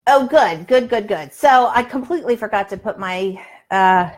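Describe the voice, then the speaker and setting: A woman's voice: medium pitch, lively intonation, normal speed. A woman in her sixties chatting casually to viewers as host of a live cooking show, folksy and emphatic. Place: home kitchen, indoors.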